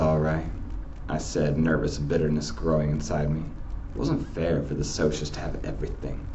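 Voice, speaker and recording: A man's voice: sits low, darkish tone, lo-fi sound, a little hiss or hum. A man, a teacher, narrating a novel aloud.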